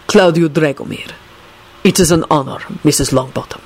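A storyteller voicing a haughty, icy gentleman in an audiobook story, speaking in a formal, grave, measured way. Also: medium pitch, lightly acted. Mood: courteous.

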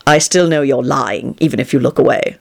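A woman's voice: rumbling low, brisk, creaky, voice pushed down, heavily acted. A woman voicing a mild, patient man in a storybook, speaking in a hesitant, dryly understated way. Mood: dry disbelief.

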